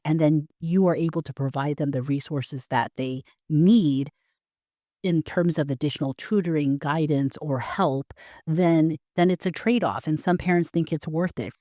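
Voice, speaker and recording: A woman from the Bay Area with an American accent, explaining things in a measured, articulate way as a parent guest on a podcast. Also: low-pitched, dark tone, no room sound, clean studio sound. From San Francisco Bay Area. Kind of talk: explanatory interview talk.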